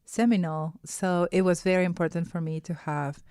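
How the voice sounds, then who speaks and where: A woman's voice: on the low side, fairly even intonation, fairly quick, mellow, clean tone, no room sound, clean studio sound. A woman from Peru with a Peruvian accent, speaking as an illustrator in a casual interview, phrasing her explanations carefully with repeats.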